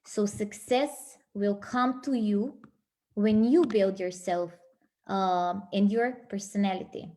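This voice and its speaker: Slow, earnest woman giving a motivational talk. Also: medium pitch, fairly even intonation, dark tone. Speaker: motivational speaker. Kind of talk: motivational speech.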